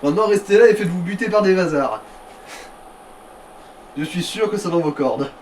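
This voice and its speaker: Man, slightly high pitch, casual gaming chat, sarcastic, vulgar, distant mic. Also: expressive, moderate pace, darkish tone. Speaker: let's play co-host. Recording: distant mic, faint background noise.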